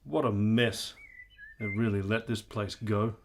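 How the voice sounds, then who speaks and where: Breezy gentleman played by an actor, noisy recording. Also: fairly low pitch, fairly even intonation, measured pace. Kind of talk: period radio drama acting.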